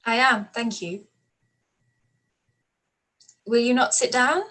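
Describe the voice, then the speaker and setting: An actress playing a proud, spirited young Regency gentlewoman in a radio play reading, with measured, articulate delivery. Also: on the high side, fairly even intonation. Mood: politely composed.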